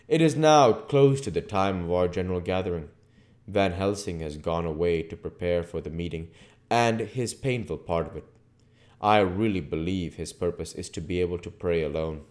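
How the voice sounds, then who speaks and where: A man reading a classic novel aloud as an amateur audiobook reader, in a measured, formal way. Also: low-pitched, darkish tone.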